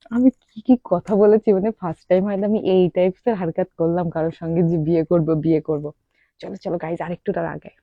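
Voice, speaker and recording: A woman's voice: fairly low pitch, no echo, phone-quality sound. A young adult woman in a casual, teasing prank-call chat, pressing on persistently as the host and caller. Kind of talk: casual prank phone chat with asides to viewers.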